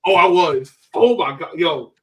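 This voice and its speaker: A man hosting a casual sports fan podcast, talking in an animated, slangy, exclaiming way. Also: fairly high pitch, unhurried, recorded from a distance, low-quality recording. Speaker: podcast host.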